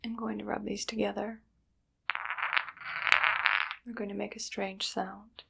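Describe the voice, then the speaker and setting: A woman voicing a coolly menacing evil doctor in an ASMR roleplay, soft-spoken, breathy and unhurried. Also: medium pitch, level tone, heavy background noise. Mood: calm, menacing. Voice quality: breathy, whispery, creaky. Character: evil female doctor, coolly menacing, controlling mad scientist. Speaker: ASMR performer.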